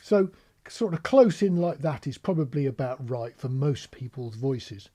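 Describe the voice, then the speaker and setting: A man's voice: medium pitch, expressive, measured pace, dark tone. A British man giving an informal, practical tutorial, explaining things plainly with anecdotes as an instructor. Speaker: marine radio instructor.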